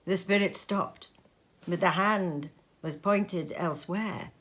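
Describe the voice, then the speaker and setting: A woman reading a story aloud in an expressive, measured and dramatic narrating style. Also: on the low side. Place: sitting room at home.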